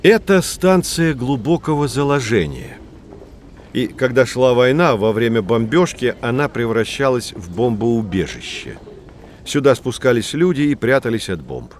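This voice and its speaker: Man as an animated, earnest tour guide. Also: mid-range voice, slight background noise, natural voice. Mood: solemn, earnest. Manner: didactic, deliberate, dramatic emphasis. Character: male tour guide teaching children, patient and earnest. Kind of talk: scripted educational audio drama narration.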